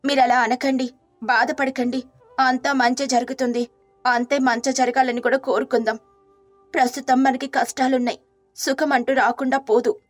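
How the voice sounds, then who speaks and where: A woman narrating a moral story and playing the caring wife, speaking softly and earnestly. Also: high voice, level tone, moderate pace, no room sound, faint music behind.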